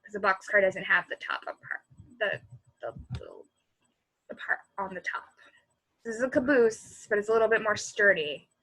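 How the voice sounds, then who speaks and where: A woman reading a storybook aloud to children in an expressive, animated way, speaking directly to her young listeners. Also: medium pitch, moderate pace, pauses often, husky, low-quality recording.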